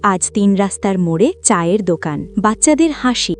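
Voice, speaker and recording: A woman's voice: medium pitch, expressive, normal speed. A woman reading a scripted, dramatic story as its narrator. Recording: music bed behind voice. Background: music.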